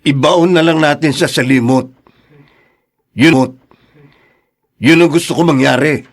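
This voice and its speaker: Man playing resentful politician, fairly high male voice, stern, emphatic, melodramatic radio play delivery. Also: a little slow. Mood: bitter, angry. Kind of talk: melodramatic audio drama acting.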